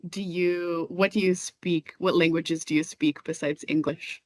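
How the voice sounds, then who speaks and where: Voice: mid-range voice, fairly even intonation, measured pace, a little mellow, dry recording. A podcast host running a casual interview, agreeable and often affirming the guest.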